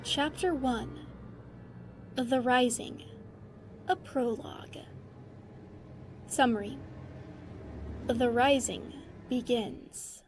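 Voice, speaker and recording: A woman reading fan fiction aloud as a podfic narrator, measured and deliberate with dramatic pauses. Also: on the high side, noisy background.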